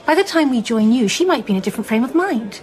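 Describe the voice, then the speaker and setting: A woman's voice: on the high side, very animated, fast. An actress playing a kindly, optimistic, matchmaking married lady in a radio drama, encouraging and decisive in manner. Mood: persuasive.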